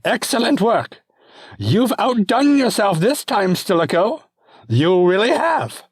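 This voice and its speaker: A man voicing a giddy, childish Roman emperor, shrill and gleeful. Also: sing-song, slow pace, slightly rough, dry recording, clean studio sound, full character voice.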